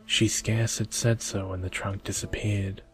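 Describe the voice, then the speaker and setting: Low soft male voice, very flat, asmr storybook reading over music, slow and soothing. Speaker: storyteller, video creator.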